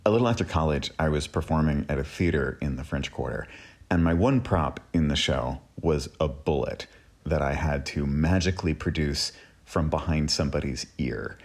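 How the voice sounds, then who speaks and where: A man's voice: rumbling low, moderate pace, darkish tone. A man hosting and telling a personal story in a casual, conversational storytelling style. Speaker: host and storyteller.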